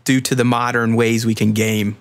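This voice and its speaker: A man's voice: mid-range voice, flat delivery, moderate pace. A man in his thirties hosting a casual panel discussion, speaking informally and freely swearing. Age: 30s. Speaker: podcast host.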